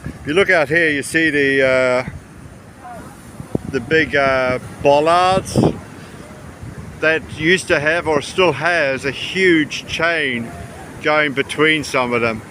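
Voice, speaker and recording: A man's voice: fairly high pitch, pushed and bright, low-quality recording. Man rambling casually outdoors, windy background. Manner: rambling, pausing mid-sentence. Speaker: travel vlogger. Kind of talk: casual walking travel commentary. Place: outdoors on a rocky seashore by tidal pools. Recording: windy outdoor recording with sea noise. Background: wind and waves.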